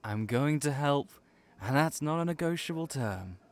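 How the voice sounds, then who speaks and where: A man playing a brash, excitable young cafe worker in an audio roleplay, pushy and teasing but loyal, with emphatic, repetitive delivery. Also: a little high, normal speed, natural voice. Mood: determined.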